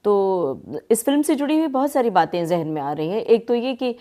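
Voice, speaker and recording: A woman's voice: mid-range voice, expressive, normal speed, warm tone. A woman hosting a conversational radio interview, asking flowing, formally worded questions in an attentive manner.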